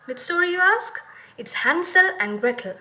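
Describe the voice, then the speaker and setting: High-pitched woman telling a children's story. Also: animated, moderate pace, sharp tone. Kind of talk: expressive children's story narration.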